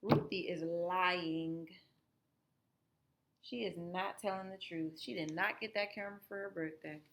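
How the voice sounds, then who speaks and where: A woman reading a children's story aloud as a teacher, expressively, pausing to explain it to young listeners. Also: on the low side, background noise. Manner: animated, explanatory, guiding listeners through the story.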